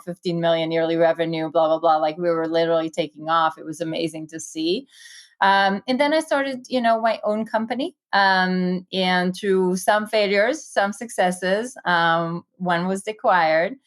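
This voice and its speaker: A woman from Israel with an Israeli accent, an investor telling her career story in a quick, enthusiastic conversational way with many fillers. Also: fairly low pitch. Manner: fast, enthusiastic, many fillers and "you know". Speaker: guest, tech investor.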